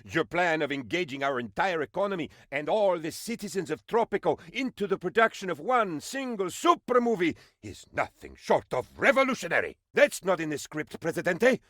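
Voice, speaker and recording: An actor voicing a bumbling, excitable and fawning aide to a dictator in a comic video game, with theatrical delivery. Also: male voice, a little high, moderate pace, hardly any pauses, dry recording, studio quality. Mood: gleeful, eager, then alarmed. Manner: theatrical, fawning, swooping between excitement and dismay. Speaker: game advisor character.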